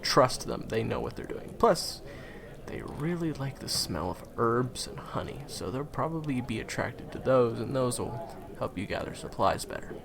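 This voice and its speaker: A man's voice: mid-range voice, expressive, moderate pace, vocal fry. A man playing a friendly, chatty guide to monster hunters in an audio roleplay, explaining things casually and reassuringly straight to the listener. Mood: informative, reassuring. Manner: casual, hesitant asides, explanatory. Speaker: voice roleplay performer. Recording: crowd chatter behind the voice. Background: busy crowd ambience.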